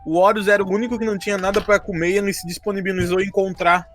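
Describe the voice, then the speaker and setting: A Brazilian man chatting casually on a podcast as he recaps a tabletop game, joking and quick to laugh. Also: high-pitched, flat delivery, fairly bright tone. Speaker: game master of a tabletop RPG campaign, podcast member.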